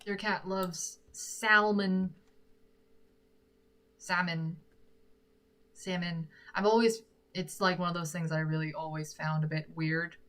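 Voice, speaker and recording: A woman's voice: on the low side, fairly even intonation, takes it slowly, pauses often, fairly bright tone. A young woman from Korea, chatting casually with viewers, giggly and thinking aloud. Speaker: singer-songwriter chatting with viewers. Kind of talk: casual chat answering live comments.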